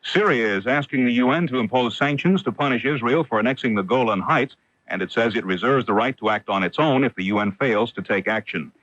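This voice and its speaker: A man's voice: medium pitch, fairly quick, a bit bright, no echo, highs cut off. An American man reading a scripted newscast as a radio news anchor on an early 1980s broadcast.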